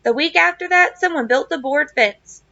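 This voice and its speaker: Bright, high-voiced woman reading to children. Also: normal speed, gravelly, no echo, dull audio. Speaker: teacher reading a book aloud to children. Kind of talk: read-aloud of a children's novel with casual asides.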